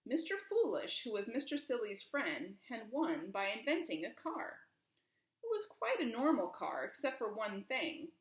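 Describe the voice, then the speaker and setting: A woman's voice: on the high side, big pitch swings, normal speed. A woman reading a children's storybook aloud expressively, with emphasis and questions put to young listeners. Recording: roomy, echoing room.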